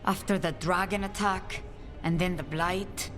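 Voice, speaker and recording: An actress playing a grave, commanding leader of assassins in a video game, speaking in a solemn, measured way. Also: female voice, lowish voice, fairly even intonation, music in the background, close to an everyday voice. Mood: bitter resolve. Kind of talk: dramatic video game acting.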